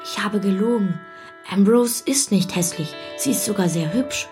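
A woman's voice: medium pitch, lively intonation, normal speed, close to an everyday voice. A performer playing a young boy who narrates his private thoughts in a musing, confiding way in a radio play. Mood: confessing. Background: music.

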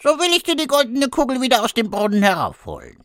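A man voicing a male frog in a fairy tale, in a high, drawn-out, croaking way. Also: huge pitch swings, a bit bright, no room sound, full character voice. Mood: pleading. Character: pleading slow-voiced male frog.

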